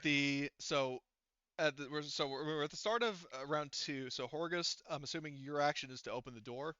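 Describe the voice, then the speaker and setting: A man running a tabletop roleplaying game as game master, improvising narration in an informal, halting way full of fillers. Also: fairly high pitch, bright, forceful tone, clear, resonant tone, no room sound, lo-fi sound.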